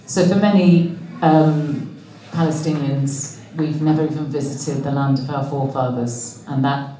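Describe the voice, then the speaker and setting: A woman interviewer asking questions in a measured, reflective way. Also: low-pitched, steady tone, dark tone, far from the mic. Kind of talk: conversational interview. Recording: echoey room, voice from off mic.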